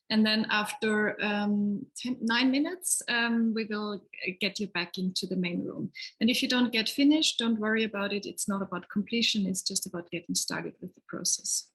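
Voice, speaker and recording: A woman facilitating an online workshop over a video call, giving gentle instructions with many hesitations. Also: mid-range voice, breathy edge, low-quality recording. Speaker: session facilitator. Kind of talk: guiding a workshop exercise.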